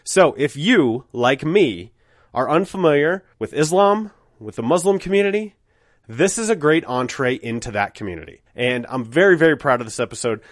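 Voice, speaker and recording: A man's voice: a little high, expressive, normal speed, no room sound, clean studio sound. A middle-aged man with a cold, hosting a podcast and speaking in an earnest, candid, conversational way. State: has a cold. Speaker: podcast host. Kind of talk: casual podcast intro monologue.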